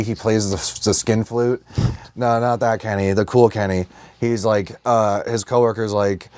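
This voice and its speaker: A man's voice: on the low side, a little mellow, dry recording. A man co-hosting a casual comedy podcast, talking in an irreverent, rambling way full of swearing. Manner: irreverent, profanity-laden, rambling. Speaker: podcast co-host.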